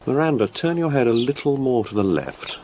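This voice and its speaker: An actor playing an aloof, exacting artist in a radio drama, curt and offhand. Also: male voice, medium pitch, big pitch swings, fairly quick, dark tone, a little hiss or hum, natural voice. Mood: exacting, impatient. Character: aloof, exacting male artist, coolly self-absorbed.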